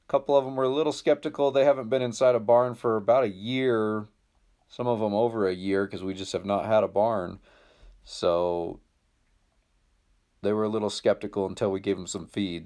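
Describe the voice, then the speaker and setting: A man's voice: lowish voice, fairly even intonation, moderate pace, warm tone. An American man in his thirties talking casually to viewers in a laid-back, plain-spoken way, like a DIY vlogger. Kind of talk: casual vlog narration to viewers.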